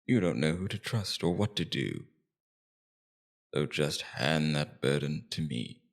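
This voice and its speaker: A man playing a suave, menacing demon king in an ASMR roleplay, coaxing and condescending in a slow, deliberate, domineering way. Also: very deep voice, animated, gravelly, heavily acted. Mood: hypnotic coaxing. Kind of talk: ASMR roleplay character acting.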